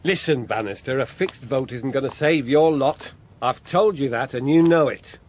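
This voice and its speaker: An actor playing a blunt, combative left-wing politician in a radio drama, gruff, sardonic and plain-spoken. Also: male voice, mid-range voice, normal speed, lightly acted. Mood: scornful, combative.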